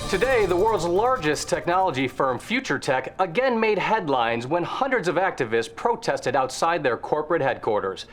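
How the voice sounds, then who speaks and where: An actress playing a formal, serious television news reporter in a video game cutscene, with crisp newsreader delivery. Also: female voice, sits low, big pitch swings, normal speed, hardly any pauses, faint music behind. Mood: serious, concerned.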